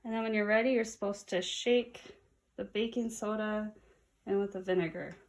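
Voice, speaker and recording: A woman's voice: mid-range voice, fairly even intonation, moderate pace. A woman presenting for children in a read-aloud and casual demonstration style, with a noisy recording. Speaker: storytime presenter.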